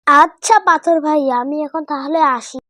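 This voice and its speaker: A woman's voice: high voice, fairly even intonation, moderate pace, a loud crowd, close to an everyday voice. A woman voicing an earnest, devoted young village boy in a cartoon. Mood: earnest, polite. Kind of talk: cartoon character voice.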